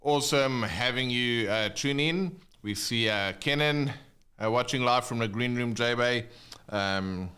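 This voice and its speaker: Clear-voiced South African man teaching live. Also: mid-range voice, measured pace, bright, forceful tone. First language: not English (English is second language). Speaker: guitar teacher, livestream host. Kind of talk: casual live online lesson, chatting with viewers.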